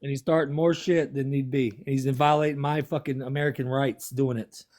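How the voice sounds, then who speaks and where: A man's voice: mid-range voice, fairly even intonation, brisk, a little mellow. A man hosting a heated online debate, combative and profane, hammering the same jabs over and over. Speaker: debate stream host.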